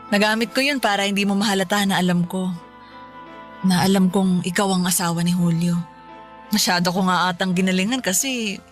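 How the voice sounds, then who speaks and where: A woman's voice: medium pitch, natural voice. A woman playing a sly young mistress in a Filipino radio drama, feigning innocence with a smug, teasing manner.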